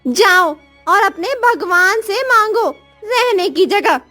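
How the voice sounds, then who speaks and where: A woman's voice: very high voice, lively intonation, takes it slowly, bright, forceful tone, dry recording, faint music behind, heavily acted. A woman voicing a scornful, dismissive village woman who mocks and scolds in a cartoon moral story. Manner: mocking, scolding.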